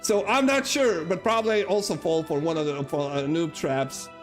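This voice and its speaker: A man's voice: fairly high pitch, fairly even intonation, moderate pace, soft background music. A man from Lithuania with a Lithuanian accent, chatting loosely with his viewers as he plays, rambling and poking fun at himself. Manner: rambling, chatty, self-mocking, talks to chat. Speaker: streamer. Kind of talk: casual live-stream gaming commentary.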